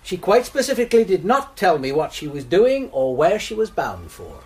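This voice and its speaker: A laconic police sergeant. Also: male voice, high-pitched, moderate pace. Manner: clipped, calm. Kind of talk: BBC radio drama acting.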